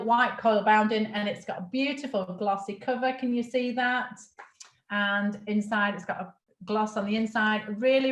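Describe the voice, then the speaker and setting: A woman hosting a live online show, gushing warmly about a product and introducing her guest. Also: a little high, roomy sound. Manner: gushing, promotional. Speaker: host.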